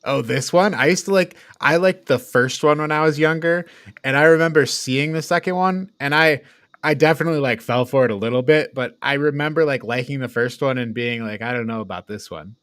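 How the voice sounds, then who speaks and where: A man hosts a casual review discussion in a conversational way. His voice sits at a middling pitch, and the recording is dry, with very little room sound. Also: a little fast, fairly bright tone, no echo. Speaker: host of a film ranking show.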